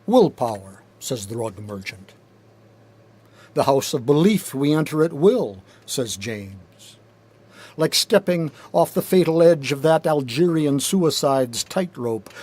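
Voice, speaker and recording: Slow, higher-pitched man reading poetry. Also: darkish tone. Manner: deliberate, measured, rhythmic delivery with pauses. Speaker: poet reading own work. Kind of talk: dramatic spoken-word poetry reading. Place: recording studio.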